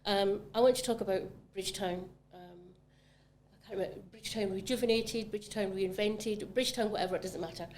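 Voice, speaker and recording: A woman's voice: mid-range voice, fairly even intonation, a little fast, darkish tone. A Scottish woman talks in an easy, enthusiastic way, with lots of ums as she thinks aloud. Her voice sits in a mid range. There is a faint noise floor behind her. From Scotland. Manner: chatty, enthusiastic, many ums. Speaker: commercial property manager. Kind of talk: casual podcast conversation.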